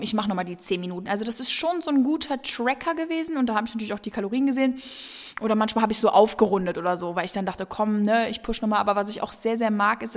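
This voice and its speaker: Chatty young woman in a reverberant room. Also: medium pitch. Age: 20s. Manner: chatty and rambling, with many fillers. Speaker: podcast host. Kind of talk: casual solo podcast Q&A. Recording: long reverb.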